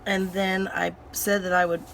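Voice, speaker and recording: A woman hosting a crafting channel and chatting casually while she works. Her voice is hoarse and still recovering, and she rambles with many fillers and restarts. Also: fairly low pitch, level tone, moderate pace, a bit bright, slight background noise. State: hoarse, voice recovering from sinus infection.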